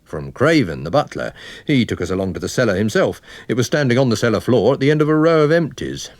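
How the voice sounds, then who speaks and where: A man's voice: mid-range voice, sing-song, fast talker, dry recording. A man playing a methodical police inspector who sets out facts in a matter-of-fact, explanatory way in a radio mystery. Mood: brisk.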